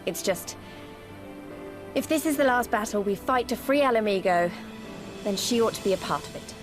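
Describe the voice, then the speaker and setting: A woman's voice: on the high side, animated, moderate pace, music in the background, lightly acted. A woman voicing an earnest, spirited young resistance fighter in a video game cutscene. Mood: sheepish, friendly.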